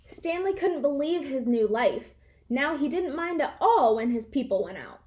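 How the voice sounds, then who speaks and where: High-voiced woman reading a children's story. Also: expressive, moderate pace, darkish tone. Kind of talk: animated children's read-aloud narration.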